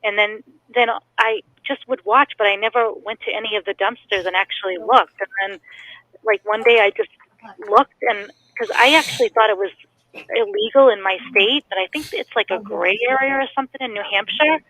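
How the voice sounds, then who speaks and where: A woman's voice: medium pitch, a little fast, sharp tone, rough recording. A woman from Massachusetts with a Boston accent, calling in to a live podcast and talking hesitantly with lots of ums and laughs. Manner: hesitant, many ums, giggly. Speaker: caller. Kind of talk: casual call-in chat.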